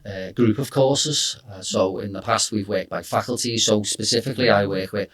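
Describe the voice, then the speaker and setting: A middle-aged English man working as a careers consultant, talking in a relaxed conversational way and often hedging with "you know" and "I suppose". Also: fairly low pitch, moderate pace.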